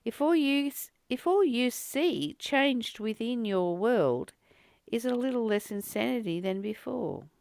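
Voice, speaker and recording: A woman's voice: a little high, expressive, measured pace, vocal fry, a little mellow, no room sound. A woman reading a spiritual text and commenting on it as a teacher, earnest and exhorting, repeating phrases for emphasis.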